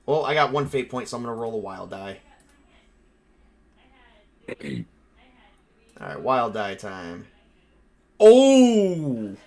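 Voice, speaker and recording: A man with a mid-pitched voice runs a tabletop horror game. He talks casually and haltingly, improvising his narration and rulings, and swears freely. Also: very animated, a little mellow. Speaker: game master and player.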